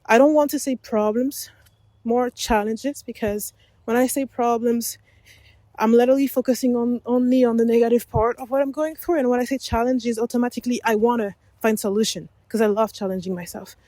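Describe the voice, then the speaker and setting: A woman's voice: on the high side, fairly even intonation, brisk, mellow, no room sound. A woman whose first language is French, hosting an unedited solo podcast while walking outdoors along a forest path, rambling and correcting herself as she goes. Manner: rambling, self-correcting, laughs often. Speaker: podcast host.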